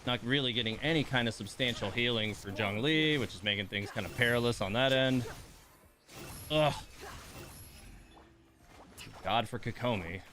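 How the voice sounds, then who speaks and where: A man with a mid-pitched voice commentates casually over his own gameplay on a livestream. He mutters to himself and grumbles, dropping mild swear words as he goes. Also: a little fast, bright, forceful tone. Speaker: livestreamer playing a game.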